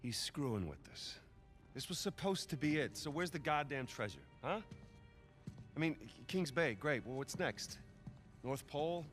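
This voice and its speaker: A man's voice: fairly high pitch, animated, fairly bright tone, soft background music. An actor playing a wry, sarcastic male adventurer in a video game cutscene. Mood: exasperated. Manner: sarcastic, quick quips.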